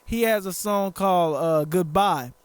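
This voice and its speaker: A man's voice: high-pitched, unhurried, clear, resonant tone, no room sound. A man hosting a casual podcast chat, rambling, joking and swearing a lot. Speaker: podcast host.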